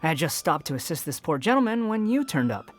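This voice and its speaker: A man playing a suave young wandering stranger in a video game, smooth-talking and politely amused. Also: fairly high pitch, very animated, fast, slight background noise. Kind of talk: game character acting.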